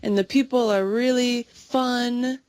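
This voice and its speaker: A woman speaking clearly and simply in a slow conversation practice recording. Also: a little high, steady tone, darkish tone, no room sound. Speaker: English speaker in a practice dialogue.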